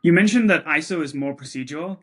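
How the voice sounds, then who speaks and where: A man's voice: on the high side, animated, fast talker, fairly bright tone. A man who runs a company, interviewing a guest and adding his own commentary between questions. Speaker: interviewer, company chief executive.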